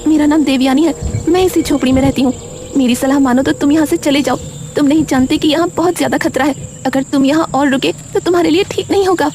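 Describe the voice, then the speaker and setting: A woman's voice: high-pitched, fast talker, a little mellow, a little hiss or hum, pitched above the performer's own voice, natural voice. A woman voicing a frightened, pleading young village girl, speaking anxiously and earnestly in a cartoon story. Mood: frightened, warning.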